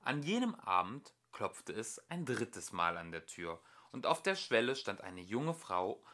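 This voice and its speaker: A man narrating a storybook as an amateur audiobook reader, in an expressive storytelling manner. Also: on the low side, a little slow. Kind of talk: storybook narration, read aloud.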